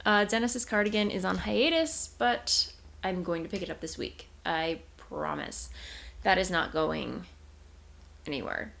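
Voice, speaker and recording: Young woman podcast host, congested and nasal, low gravelly voice, meandering casual chat. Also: measured pace, fairly bright tone. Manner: rambling, self-correcting, giggly. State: tired. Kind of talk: casual chatty video podcast.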